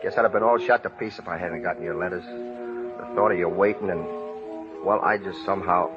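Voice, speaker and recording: An actor in a 1930s radio drama playing an earnest, rough-spoken small-town man, talking in a folksy, halting way. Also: low voice, steady tone, close to an everyday voice. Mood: relieved. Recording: muffled vintage 1930s radio recording, music behind.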